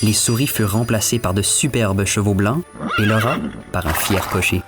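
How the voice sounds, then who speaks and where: Low-voiced male fairy tale narrator. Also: normal speed, over loud music. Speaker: storyteller, narrator.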